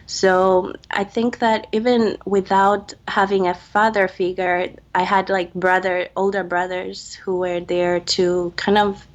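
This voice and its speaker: A woman from Zambia with a lowish, even voice speaks very slowly and softly in a relaxed interview. The audio sounds muffled, like a remote call. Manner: soft-spoken, unhurried, with light laughs. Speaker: guest, public health student.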